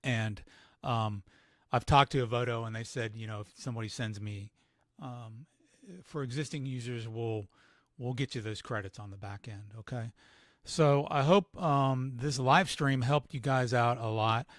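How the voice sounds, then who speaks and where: A man giving a casual live software tutorial, thinking aloud with fillers, his voice starting to go. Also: medium pitch, normal speed, no echo, clean studio sound. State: losing his voice. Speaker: photographer demonstrating editing software.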